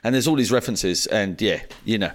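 Low-voiced man musing aloud. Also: fairly quick. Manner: thoughtful, searching for words with "um" and "sort of". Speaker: podcast co-host. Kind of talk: casual chat.

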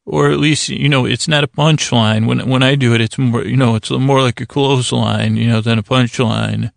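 A man hosting a sleep podcast in a gentle, soothing way, with halting, fumbling, meandering monologue that keeps interrupting itself. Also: medium pitch, fairly even intonation, gravelly, dry recording, studio quality. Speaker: podcast host. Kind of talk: rambling bedtime monologue to lull listeners to sleep.

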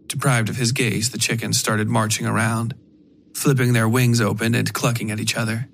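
A man narrating a scripted dark fiction story for a podcast. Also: medium pitch, level tone, normal speed, creaky, slight background noise.

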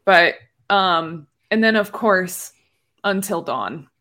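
A woman chatting casually as a podcast panelist, telling stories in an animated, giggly way. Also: lowish voice, slow. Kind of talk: casual chat.

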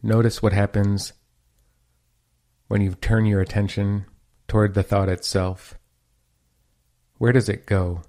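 A man leading a guided meditation as host, giving gentle, measured instructions with pauses between phrases. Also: lowish voice, little pitch movement.

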